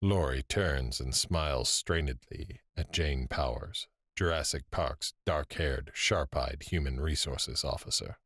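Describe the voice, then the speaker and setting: A man narrating an audio drama in measured, descriptive scripted prose. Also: very deep voice, a bit bright, breathy edge, no room sound, studio quality, full character voice. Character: male narrator setting scenes, measured and descriptive. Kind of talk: scripted present-tense scene narration.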